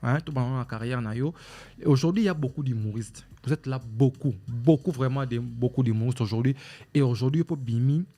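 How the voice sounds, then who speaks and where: A man's voice: medium pitch, fairly quick, warm tone. A man with a Congolese French accent hosting a talk show, formal and repetitive, with many fillers and restarts. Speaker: show host.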